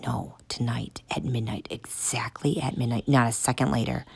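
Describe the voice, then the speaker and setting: A woman voicing a huge, secretive male guard who talks in a deep, throaty, hushed whisper. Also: steady tone, creaky, breathy edge, no room sound, full character voice. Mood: hushed urgent. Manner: hushed and hurried. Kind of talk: audiobook character voice.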